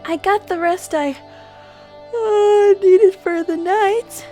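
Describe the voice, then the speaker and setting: A woman voicing a dreamy, excitable young female bat-winged pony in an animated fan audio drama, given to theatrical musing and flustered stammers. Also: extremely high pitch, extremely slow, exaggerated voice. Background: music.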